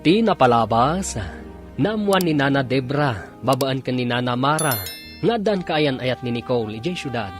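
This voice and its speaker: A man's voice: mid-range voice, expressive, normal speed, over music. A man narrating and announcing a radio drama from a script.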